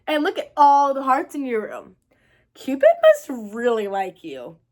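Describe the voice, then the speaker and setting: Woman as an eager, high-voiced little brother. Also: very animated, measured pace, darkish tone. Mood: cheerful, impressed. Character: cheerful, teasing little brother in a storybook.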